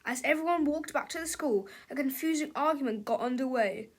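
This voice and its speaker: A woman's voice: high-pitched, fairly quick. A woman reading a children's storybook aloud as narrator, in a dramatic, theatrical manner.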